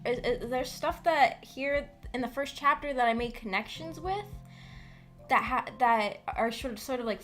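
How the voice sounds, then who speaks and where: A woman hosting a book club livestream, chatting casually and haltingly with her audience, often giggly. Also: fairly high pitch, a bit bright. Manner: giggly, breaks into small laughs often. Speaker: host of a visual novel book club stream. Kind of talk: casual livestream discussion with chat.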